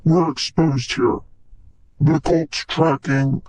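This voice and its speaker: A man playing a grim, menacing cyborg in game-style dramatic lines, with pitch-shifted robotic processing. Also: fairly even intonation, slow, a little mellow, no echo, poor audio. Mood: grim warning.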